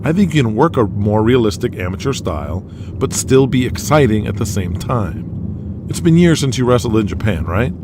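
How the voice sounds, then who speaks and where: A man's voice: mid-range voice, sing-song, moderate pace, noisy background. A man voicing an earnest, nervous young wrestling fan who talks candidly in an audio drama. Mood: apologetic, frank.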